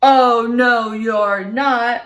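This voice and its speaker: A woman voicing an ugly, smelly male troll who is greedy and menacing, growling slowly and loudly. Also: fairly high pitch, steady tone, voice pushed up. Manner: slow, loud, growling.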